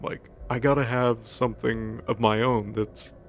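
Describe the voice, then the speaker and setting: A man's voice: mid-range voice, flat delivery, a little slow, gravelly, a little hiss or hum. A man voicing an insecure, jealous male god who mutters petulantly and self-importantly in a comic story recap. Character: insecure, jealous young male god trying to seem cool.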